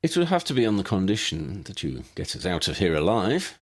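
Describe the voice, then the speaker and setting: A man voicing a formal, self-doubting uncle with a measured, wordy way of talking in a fantasy audiobook. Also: lowish voice, sing-song. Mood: formal, consenting. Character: formal, wry, self-doubting adult uncle without magic.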